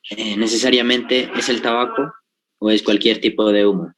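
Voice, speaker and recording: Estudiante varón de voz grave, pregunta con titubeos en una clase virtual. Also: male voice, lowish voice, level tone, normal speed, fairly bright tone. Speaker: student. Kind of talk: asking a question in class.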